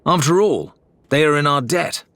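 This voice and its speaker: A man's voice: on the high side, normal speed, a bit bright, no echo, pitched above the performer's own voice. A man voicing a sceptical, scornful, greedy pirate in an audiobook, with sarcastic delivery. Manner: sarcastic, sneering.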